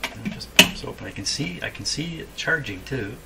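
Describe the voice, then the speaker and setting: A man hosting a hands-on repair demonstration, thinking aloud casually in an unhurried, musing way with dry humor. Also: mid-range voice, animated, gravelly, heavy background noise. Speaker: host of a radio repair video. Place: indoor home repair workshop.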